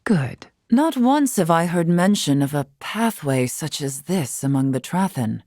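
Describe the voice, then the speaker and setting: A woman's voice: low voice, big pitch swings, measured pace, warm tone, no echo, studio quality. An actress playing a composed, regal woman who leads a tribe in a video game, teasing at times, with formal, archaic phrasing. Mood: earnest, calm. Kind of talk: scripted video game voice acting.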